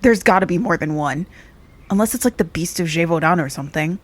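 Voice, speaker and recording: A woman's voice: on the low side, expressive, a little fast. A woman playing a level-headed, knowledgeable young woman in an audio drama, speaking in a dry, matter-of-fact way. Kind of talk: audio drama dialogue, conversational.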